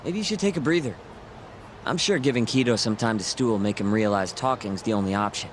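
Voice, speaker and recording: An actor playing a cocky young sidekick in a game cutscene, breezy, informal and teasing. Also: male voice, fairly low pitch, a little fast, slight background noise, close to an everyday voice. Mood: easygoing concern. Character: cocky young male sidekick, taunting, casual, loyal. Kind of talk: dramatic video game cutscene acting.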